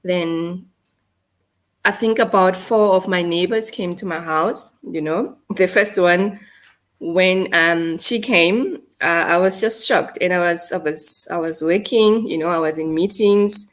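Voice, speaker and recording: A woman in her thirties with a South African accent, telling a painful personal story in a halting, hesitant way. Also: on the low side. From South Africa, North West. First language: Setswana. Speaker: guest. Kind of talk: emotional personal account.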